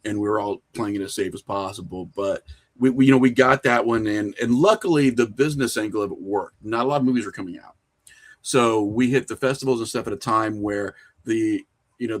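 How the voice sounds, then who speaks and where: A man talking informally as an interview guest, telling anecdotes in a self-deprecating, conversational way. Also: lowish voice. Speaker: podcast guest, indie filmmaker.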